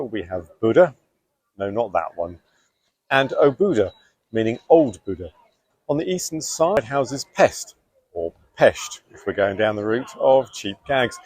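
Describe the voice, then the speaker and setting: A man's voice: medium pitch, a little mellow, husky, dry recording. A man hosting a video, narrating a script with a playful, jokey delivery. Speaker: video host.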